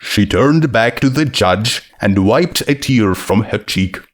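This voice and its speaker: Slow, measured male story narrator. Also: lowish voice, expressive. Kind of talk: scripted fiction narration.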